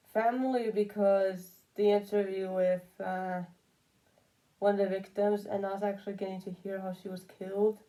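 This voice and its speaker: A woman with a soft-edged, dark voice talks casually and off the cuff. She rambles through her opinions as a commentary creator, circling back to repeat phrases for emphasis. Her pitch sits in an ordinary middle range. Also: low-quality recording.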